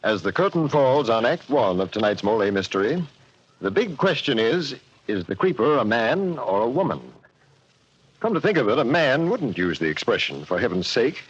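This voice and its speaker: A man's voice: medium pitch, sing-song, moderate pace, husky. A man hosting an old radio mystery show, wry and teasing. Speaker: host. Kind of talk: radio show host narration. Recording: muffled old radio recording.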